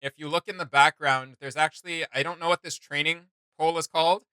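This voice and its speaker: A man's voice: fairly high pitch, fairly even intonation, fairly quick, sharp tone, clear, resonant tone, dry recording. A man hosting a reaction video, chatting casually in an enthusiastic, rambling fan's manner. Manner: enthusiastic, rambling fan talk. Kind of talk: casual chat.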